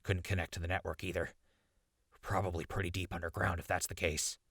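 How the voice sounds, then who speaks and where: Casting: male actor as captured wasteland radio host, low husky voice, urgent, plain-spoken and stubbornly hopeful, for audio drama. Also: little pitch movement, brisk, fairly bright tone, no room sound, clean studio sound. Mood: grim explaining. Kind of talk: post-apocalyptic audio drama acting.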